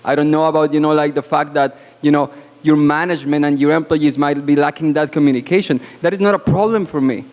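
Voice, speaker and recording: A woman born abroad speaks as a panelist at a conference, with a loud voice and a frank, assertive, deliberate delivery broken by pauses, into a microphone in a banquet hall. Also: low-pitched, steady tone, lo-fi sound. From born outside Canada. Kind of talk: conference speech. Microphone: microphone at podium. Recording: long hall reverb.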